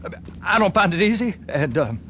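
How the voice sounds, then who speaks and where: An actor playing a timid, anxious young American dockworker in a video game, halting and stammering submissively. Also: male voice, high voice, sing-song, a bit bright, a little hiss or hum. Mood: anxious, embarrassed. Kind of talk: video game cutscene acting.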